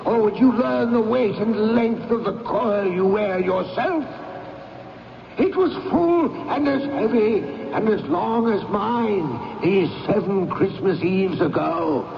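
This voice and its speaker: An actor playing the tormented, mournful ghost of a dead businessman in a radio drama, speaking in a solemn, drawn-out wail. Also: sits high, gravelly, full character voice. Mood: grim reproach. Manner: slow, drawn-out, wailing, solemn. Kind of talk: 1970s radio drama acting. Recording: echoing, noisy, muffled radio recording.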